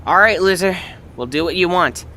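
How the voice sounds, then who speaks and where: A woman's voice: on the low side, moderate pace, pushed and bright. A woman playing a bossy, sarcastic young cartoon creature in an audio story. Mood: bossy, scornful. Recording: faint background noise.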